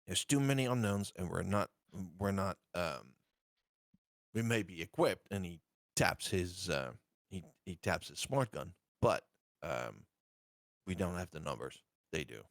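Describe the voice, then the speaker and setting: A man's voice: low-pitched, animated, unhurried, vocal fry, dry recording, studio quality. A man playing a tabletop game, cautious and reasoning questions through aloud. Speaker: player. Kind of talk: casual tabletop play talk.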